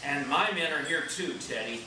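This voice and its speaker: Man as principled general-president, highish, echoey. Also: lively intonation, normal speed, natural voice. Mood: proud. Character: principled, idealistic George Washington, male general-president in heaven. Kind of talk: readers' theater stage reading. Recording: echoey room, distant mic, noisy.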